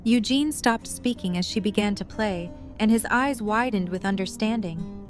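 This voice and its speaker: A woman's voice: medium pitch, moderate pace, faint music behind. A woman narrator reading scripted third-person story narration for an audio drama.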